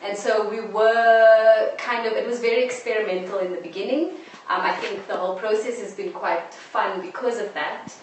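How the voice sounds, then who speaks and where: A woman explains her creative work in an interview at an ordinary pitch. She sounds set back from the microphone in a strongly reverberant room, with faint noise around her. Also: steady tone, moderate pace, few pauses. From South Africa. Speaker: audio drama producer. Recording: echoey, distant live stream, faint noise.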